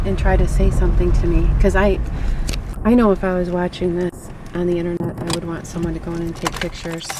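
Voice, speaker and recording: A middle-aged woman vlogging casually off the cuff, chatty and musing aloud with wonder. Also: lowish voice. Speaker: rideshare driver and vlogger. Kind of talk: casual off-the-cuff vlog narration. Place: moving car with windows down, later open barn. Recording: noisy handheld camera audio with car and wind.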